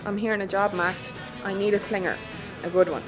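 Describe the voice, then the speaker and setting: A woman's voice: medium pitch, fairly even intonation, a little fast, close to an everyday voice. A woman acting as a blunt, no-nonsense, sweary young crew boss in an audio drama. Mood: businesslike. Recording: music under the voice.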